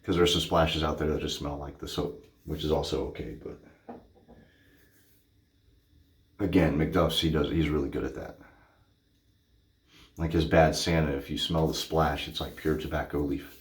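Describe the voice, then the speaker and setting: A man's voice: extremely low pitch, fairly even intonation, normal speed, long pauses. A man in his thirties chatting casually to camera in a small home bathroom, goofy and hyper, often correcting himself. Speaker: shaving vlogger. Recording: echoey bathroom.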